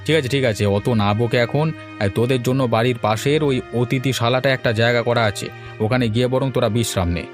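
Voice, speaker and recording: A man's voice: mid-range voice, fast talker, lightly acted. A man voicing a fussy, chiding village father in a melodramatic cartoon, speaking in exclamations. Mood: brusque, chiding. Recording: music behind the voice.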